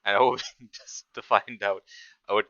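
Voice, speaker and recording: A man's voice: on the low side, fairly even intonation, moderate pace, pushed and bright, no room sound, muffled recording. A man giving casual, rambling, amused commentary as the host of a reaction video.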